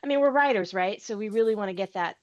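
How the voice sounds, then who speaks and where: Relaxed Texan woman host. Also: medium pitch, fast. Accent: Texas. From Texas. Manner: relaxed, hesitant, many fillers and small laughs. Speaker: podcast host and author. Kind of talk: casual interview chat. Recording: slightly dull lo-fi sound.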